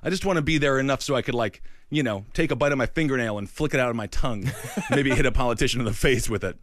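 A man with a Midwestern accent co-hosting a casual political comedy chat, riffing on jokes and quick tangents. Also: mid-range voice, a bit bright, no room sound, studio quality. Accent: Midwestern American. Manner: joking, riffing, fast tangents. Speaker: podcast co-host.

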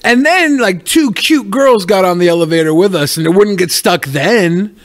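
A man's voice: high voice, expressive, moderate pace. A man co-hosting a podcast with casual, crude, joking banter. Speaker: podcast co-host.

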